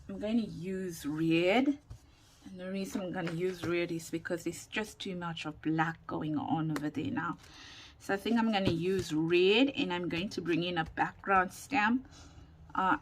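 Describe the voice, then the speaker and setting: Slow-talking woman with a sore throat. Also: lowish voice, slight background noise, low-quality recording. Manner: slow, chatty, with frequent ums and laughs, drifting into whispers. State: recovering from lost voice, sore throat. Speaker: craft vlogger. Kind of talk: casual crafting tutorial chat.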